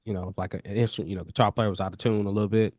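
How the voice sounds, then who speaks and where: A man with a nasal voice tutoring a student one-on-one on a video call, relaxed and encouraging, often repeating his words. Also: fairly low pitch, racing, no room sound, studio quality. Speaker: audio mixing tutor.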